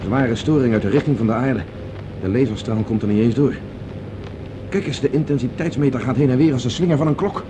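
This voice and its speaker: A man plays an impatient, self-assured space pilot in a radio play. He talks very quickly at a middling pitch, explaining and giving orders briskly. The old recording is clearly noisy, with a hum like a craft. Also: close to an everyday voice. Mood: concerned. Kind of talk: Dutch radio drama acting.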